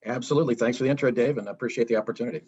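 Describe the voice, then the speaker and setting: A man's voice: mid-range voice, very fast, darkish tone, lo-fi sound. A man giving a guest presentation in a business webinar, explaining steadily and asking rhetorical questions. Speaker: guest speaker, product lifecycle advisor.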